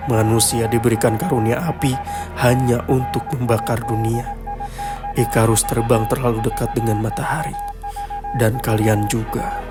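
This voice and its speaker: A man performs a menacing giant possessed ape for a horror story. The voice drops far down in pitch, grave and ominous, delivering solemn declarations over music. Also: huge pitch swings, normal speed.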